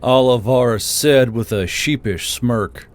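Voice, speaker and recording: A man's voice: mid-range voice, unhurried. A man reading scripted fantasy fiction as the narrator of an audiobook. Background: music.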